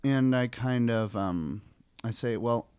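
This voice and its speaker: A man's voice: medium pitch, takes it slowly, darkish tone, clear, resonant tone, no echo, lo-fi sound. A man playing a tabletop game, talking casually and hesitantly as he thinks aloud about what his character does.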